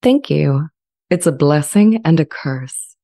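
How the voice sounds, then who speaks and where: Casting: gentle sentient AI played by a woman, low-pitched, soft-edged, measured, longing to be accepted. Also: animated, exaggerated voice. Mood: calm, wistful. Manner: measured, earnest, articulate. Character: sentient AI language model, earnest, gentle, eager to be accepted. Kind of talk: dramatized transcript reading. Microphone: close studio microphone with pop shield.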